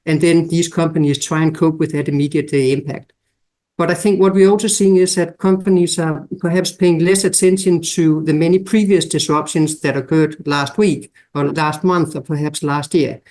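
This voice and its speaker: Danish man, podcast guest, slightly high voice, measured and explanatory. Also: fairly even intonation, darkish tone. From Denmark. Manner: measured, explanatory, lists examples. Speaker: guest expert. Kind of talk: podcast interview.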